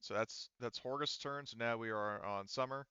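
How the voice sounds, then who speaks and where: A man's voice: medium pitch, moderate pace, sharp tone, smooth, no room sound, lo-fi sound. A man working as game master, running a tabletop roleplaying game in a casual, freely swearing manner.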